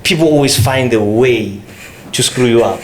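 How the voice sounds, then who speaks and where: A man talks plainly and directly in a relaxed podcast setting, often adding brief words of agreement. His voice is middle-pitched, with a faint hiss in the background. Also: moderate pace. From South Africa. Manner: plain-spoken, frequent short agreement. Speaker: podcast co-speaker. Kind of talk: casual podcast conversation.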